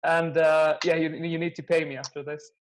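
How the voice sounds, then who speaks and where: A man's voice: on the high side, little pitch movement, moderate pace. Young male interview host, easygoing and joking, slightly raised voice. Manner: friendly, joking, laughs easily. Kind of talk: casual interview chat.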